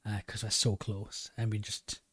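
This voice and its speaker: A man's voice: lowish voice, completely flat delivery, normal speed, a bit bright, husky, dry recording. A man with a Geordie accent hosting a podcast, chatting casually and often restarting his sentences. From England. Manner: rambling, many restarts. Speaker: podcast host. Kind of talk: casual chat.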